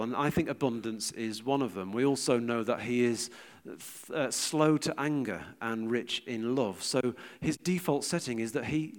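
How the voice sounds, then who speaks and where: A middle-aged British man giving an informal sermon with personal anecdotes, in a hesitant, conversational and reflective manner. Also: medium pitch. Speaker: church speaker giving a teaching talk.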